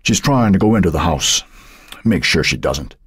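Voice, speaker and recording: A man's voice: sits low, animated, normal speed, husky, no echo, studio quality, full character voice. A man voicing a dogged fireman in an audiobook story, firm and insistent. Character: dogged, firm fireman handling a distressed resident.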